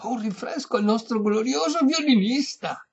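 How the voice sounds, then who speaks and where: A narrator voicing a deaf old noblewoman in a comic story, eager, loud and stubbornly oblivious. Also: mid-range voice, very animated, unhurried, vocal fry, breathy edge, highs cut off, voice pushed up, heavily acted. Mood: eager insistence. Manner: loud, insistent, uncomprehending.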